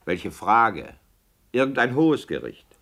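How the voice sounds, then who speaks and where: Animated, pedantic male director. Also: mid-range voice, slow, vocal fry, heavily acted. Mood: fussy, corrective. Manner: fussy, formal, demanding. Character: pedantic, insistent male stage director who interrupts. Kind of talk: radio drama acting.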